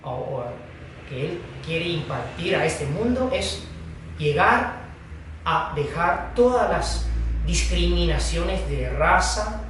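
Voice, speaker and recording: A man who is a Buddhist teacher, giving a dharma talk in a slow, halting manner with pauses and fillers. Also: on the high side, lively intonation, fairly bright tone, a little hiss or hum. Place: hall of a foundation. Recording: echoey room, distant mic.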